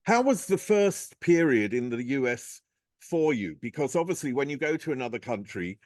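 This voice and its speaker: A man's voice: mid-range voice, sing-song, moderate pace, darkish tone, no echo, studio quality. A man hosting an interview, asking thoughtful, encouraging questions and sharing his own memories. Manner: thoughtful, encouraging questioner who shares personal anecdotes. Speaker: interview host.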